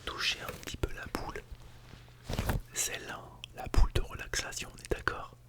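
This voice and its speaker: Hushed whispering ASMR teacher. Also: normal speed. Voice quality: unvoiced breathy whisper. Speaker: ASMR artist role-playing as a teacher at an ASMR school. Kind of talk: whispered ASMR role-play lesson. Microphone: close Blue Yeti microphone in binaural mode.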